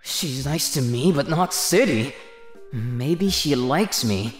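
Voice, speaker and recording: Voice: mid-range voice, lively intonation, slow pace. A smitten, easily flustered anime teenage boy played by an actor. The mid-range voice swings widely and drags words out, with faint music behind. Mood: wary. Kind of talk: anime manga dub acting.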